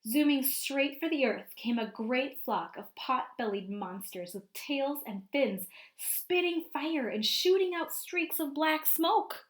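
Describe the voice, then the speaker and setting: A woman teacher reading a picture book aloud to young children, expressive and often prompting her listeners with questions. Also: high-pitched, slow, few pauses.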